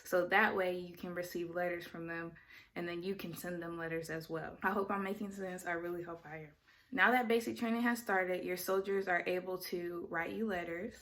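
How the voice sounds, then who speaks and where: A young adult woman vlogging casually, explaining things in a chatty way and correcting herself as she goes. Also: fairly low pitch. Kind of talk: casual talking-head vlog.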